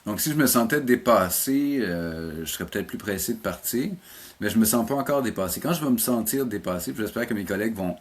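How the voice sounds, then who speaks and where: Low-pitched Québécois man, slightly husky. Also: animated, a little fast. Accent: Québécois French. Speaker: interview guest, animation teacher and program coordinator. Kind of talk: casual interview conversation.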